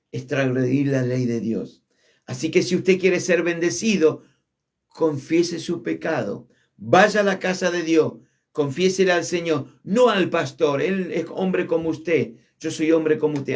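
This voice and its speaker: A male pastor with an Argentine Spanish accent, preaching a sermon with emphasis, dropping low on key words. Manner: emphatic preaching, drops low on key words, shifts between quick and drawn-out phrasing.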